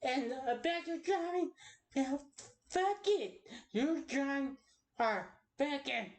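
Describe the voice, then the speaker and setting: A woman's voice: sits high, expressive, takes it slowly, pitched above the performer's own voice, exaggerated voice. A woman voicing a cruel, scornful unicorn parent who berates a child with harsh contempt in an amateur cartoon style. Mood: shouting, taunting. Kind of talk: amateur cartoon villain voice acting.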